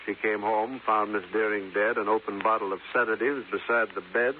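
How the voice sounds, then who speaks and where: A thin vintage radio recording carries a low-pitched American sheriff, acted by a man. He is composed and guarded, courteous but firm, and talks in a formal, deliberate manner typical of 1950s radio drama. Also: fairly even intonation, lightly acted. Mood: insistent explaining. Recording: noisy, narrow-band 1950s radio recording.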